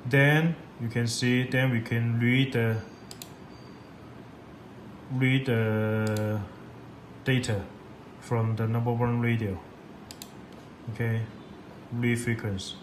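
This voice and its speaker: Halting man in an echoey room. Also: mid-range voice, level tone. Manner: halting, repetitive non-native English phrasing. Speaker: product demonstrator. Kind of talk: step-by-step software tutorial. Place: indoors at a laptop on a desk. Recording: echoey room with clear background noise.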